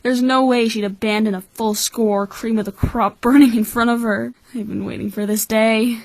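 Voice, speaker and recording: A woman voicing a cynical, calculating twelve-year-old orphan boy in a measured, blunt anime-style fan dub. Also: a little high, fairly even intonation, darkish tone, dry recording, close to an everyday voice, rough recording. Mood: bitter, defiant.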